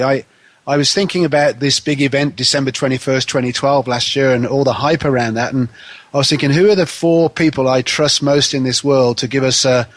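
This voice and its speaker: A man hosting a spiritual talk radio show, a little nasal from a head cold, with gentle hesitant phrasing. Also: medium pitch, no echo.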